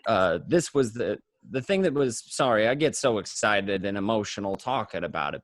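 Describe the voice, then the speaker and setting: Guy doing a wiseguy gangster telling his story, chatty n halting, mid pitch, really dry sound. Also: no room sound, natural voice. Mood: excited, fond. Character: excitable male mobster recounting his crime life. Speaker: amateur actor in an online radio play. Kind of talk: first-person dramatic monologue, conversational.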